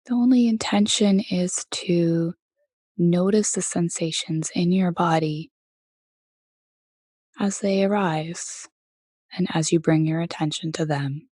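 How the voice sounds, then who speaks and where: A woman leading a guided meditation as teacher, speaking gently in a soft voice with many pauses. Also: on the low side, no room sound. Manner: gentle, unhurried, pausing often between phrases.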